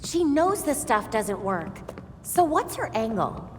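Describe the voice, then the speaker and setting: A woman playing a young, earnest and quick-witted vigilante heroine in a video game. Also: a little high, big pitch swings, faint music behind. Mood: wry, focused. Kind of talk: video game cutscene acting.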